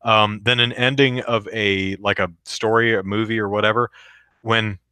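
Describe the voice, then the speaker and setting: Man, deep voice, bright tone, dry close sound, casual rambling host. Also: steady tone, moderate pace, smooth, no echo. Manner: rambling, lots of fillers and restarts. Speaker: podcast host reading chat. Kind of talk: casual live-stream chat.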